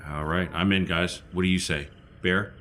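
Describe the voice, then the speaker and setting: Tabletop player, male: conversational, on the low side, faintly noisy recording. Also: fairly even intonation, normal speed, sharp tone. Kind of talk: casual in-game banter. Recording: faint background noise.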